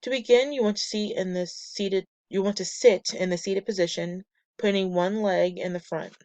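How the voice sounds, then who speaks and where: A woman presenting a class lesson from slides, a bit nasal and raspy from a cold, reading steps and lists in a measured way. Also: medium pitch, steady tone, a little mellow, no room sound. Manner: measured, reads steps and muscle lists methodically. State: getting over a cold, slightly nasal and raspy. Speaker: student presenter.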